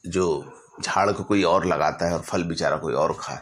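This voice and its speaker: Guy with a really low voice telling a story, short phrases, lots of pauses. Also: a bit bright, a little hiss or hum. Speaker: astrologer telling client stories. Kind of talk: informal narrated storytelling.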